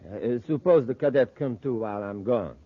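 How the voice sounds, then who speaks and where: An actor playing an obedient, rough henchman thug in a 1950s radio serial. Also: medium pitch, moderate pace, no room sound, lightly acted. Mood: gloating, violent. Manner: rough, simple, obedient to his boss. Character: henchman thug, deferential but ready for violence. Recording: muffled old 1950s radio recording.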